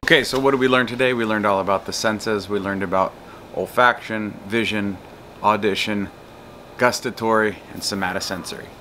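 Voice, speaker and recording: A man's voice: lowish voice, fairly even intonation, moderate pace, bright, forceful tone, slight background noise. A man in his thirties giving a recorded psychology lecture outdoors in a backyard, explaining with a guitar and a whiteboard. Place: outdoors in a backyard patio and garden.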